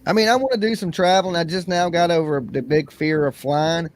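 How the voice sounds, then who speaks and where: A man's voice: fairly high pitch, brisk. A man hosting a casual livestream chat, blunt and salty, laid-back. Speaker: livestream host.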